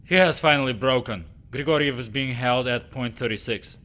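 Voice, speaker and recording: An actor playing a grave, formal Russian intelligence officer in a video game cutscene, with stiff, measured phrasing. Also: male voice, mid-range voice, a bit bright, lightly acted.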